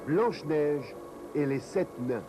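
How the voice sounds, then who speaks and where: Slow male cartoon title announcer. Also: fairly high pitch, animated, over music. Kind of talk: formal scripted announcement.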